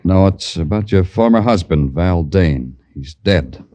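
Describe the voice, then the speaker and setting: An actor playing a wry, world-weary American police detective in an old radio crime drama, deadpan and sardonic. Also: male voice, low-pitched, unhurried, no room sound. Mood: measured, careful. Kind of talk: 1940s-50s radio crime drama acting and narration.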